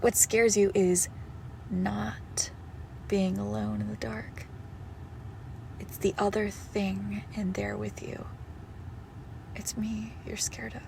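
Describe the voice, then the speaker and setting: A woman's voice: medium pitch, creaky, darkish tone, a little hiss or hum. A woman playing a possessive young female shadow monster posing as a doting girlfriend in an intimate horror audio roleplay, speaking tenderly and menacingly, often slipping toward a whisper. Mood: tender, ominous, then whispered menace.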